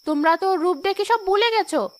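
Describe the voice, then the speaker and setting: A woman's voice: extremely high pitch, a little fast. A woman voicing a spiteful, jealous, scheming young sister-in-law in a cartoon fairy tale, taunting and accusing. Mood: indignant. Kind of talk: animated fairy-tale voice acting.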